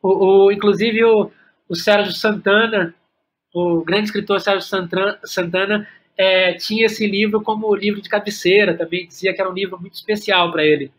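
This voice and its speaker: A man's voice: sits high, fairly even intonation, fairly bright tone. A Brazilian man talking casually as an interview guest, often repeating words as he searches for the next one. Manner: halting, repeats words as he thinks. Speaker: podcast guest, playwright and workshop teacher.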